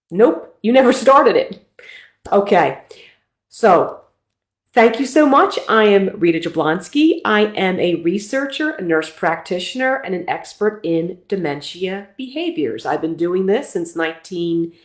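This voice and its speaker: A woman's voice: medium pitch, echoey room. A middle-aged woman from Philadelphia, a nurse practitioner hosting a casual livestream talk in a chatty, self-deprecating way.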